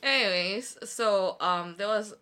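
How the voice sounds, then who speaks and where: A woman's voice: mid-range voice, takes it slowly, noisy background. A woman guest chatting casually on a podcast, giggly and talkative, laughing often between phrases. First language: not Korean. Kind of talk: casual chat.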